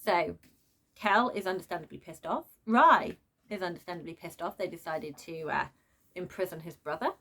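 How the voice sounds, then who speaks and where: A woman vlogger talking off the cuff in an emphatic, expressive, informal way, like a book reviewer ranting to viewers. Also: on the low side, normal speed. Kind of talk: unscripted opinionated rant to viewers.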